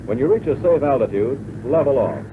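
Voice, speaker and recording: An American man reading scripted instructional narration for a 1940s military training film in a measured, instructive way. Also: medium pitch, sing-song. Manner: measured, instructive, addressing the listener as pilot. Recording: tinny band-limited 1940s film soundtrack, loud noise.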